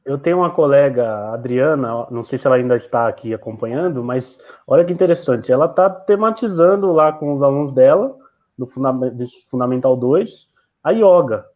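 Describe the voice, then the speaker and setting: A man's voice: medium pitch, expressive, brisk. A man who is a physical education teacher, speaking reflectively and hesitantly on an online panel. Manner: reflective, hesitant, many fillers and restarts. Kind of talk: casual online panel discussion. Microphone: video call. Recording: lo-fi video call audio.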